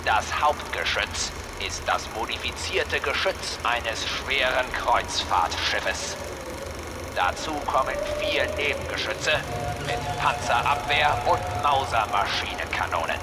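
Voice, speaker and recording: A man's voice: medium pitch, expressive, unhurried, lightly acted. A man playing a menacing, proud Nazi German commander in a video game, declaiming grandly. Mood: proud, ominous. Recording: loud vehicle noise behind.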